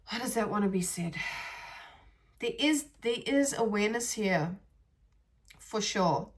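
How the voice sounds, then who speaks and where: A woman's voice: mid-range voice, expressive, measured pace. A woman hosting a reflective spiritual podcast, who talks in a meandering way, searching for words and often restarting her sentences. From South Africa. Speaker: podcast host.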